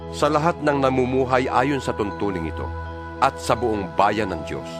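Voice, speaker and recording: A man with a Filipino accent playing an earnest apostle exhorting believers in a Bible audio drama, solemn and emphatic. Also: medium pitch. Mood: fervent. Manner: solemn, emphatic, preacherly delivery. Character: earnest male apostle exhorting his fellow believers by letter. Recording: music bed behind the voice.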